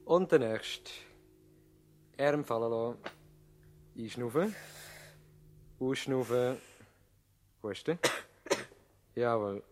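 An actor playing an overworked, curt but conscientious village doctor in a radio play. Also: male voice, medium pitch, drawn-out words, long pauses, darkish tone. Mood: brisk, clinical. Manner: curt, instructing. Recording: noisy old radio play recording.

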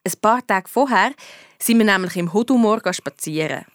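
A woman's voice: medium pitch, expressive, moderate pace, no echo, clean studio sound, natural voice. A woman playing a young girl detective, narrating a children's radio play colloquially with a Swiss German accent. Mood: storytelling. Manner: colloquial, wry. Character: Lisa, wry young girl detective telling her adventure.

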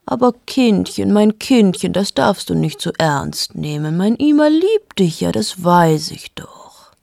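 An actress putting on a high, cooing voice for a doting older woman consoling a younger one. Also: big pitch swings, unhurried, gravelly, darkish tone, no echo, clean studio sound. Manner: cooing, sweetly comforting.

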